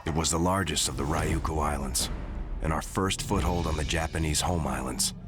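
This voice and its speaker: A male actor playing a sombre American marine who narrates the Pacific war in a measured, scripted cinematic style. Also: extremely low pitch. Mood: grave, reflective. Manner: measured, grave. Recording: prominent music under the narration.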